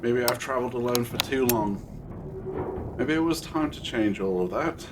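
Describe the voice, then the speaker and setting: A man's voice: medium pitch, lively intonation, a little slow, natural voice. A man playing an eccentric, whimsical time-travelling adventurer in an audio drama, musing and a little self-satisfied, over noise. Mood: wistful. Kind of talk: scripted audio drama acting.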